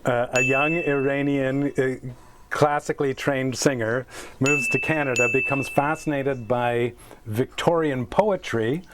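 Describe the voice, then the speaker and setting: A man's voice: medium pitch, fairly even intonation, a little slow, background noise. Enthusiastic male interview host. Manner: enthusiastic, rambling, restarting questions. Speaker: host, artistic director. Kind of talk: interview hosting. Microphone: video call.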